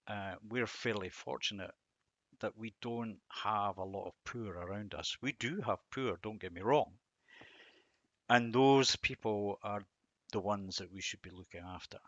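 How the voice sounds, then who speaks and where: A man's voice: on the low side, a bit bright, no echo, lo-fi sound. A middle-aged man giving a reflective devotional talk on scripture, asking rhetorical questions and repeating words for emphasis. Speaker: Bible teacher and host.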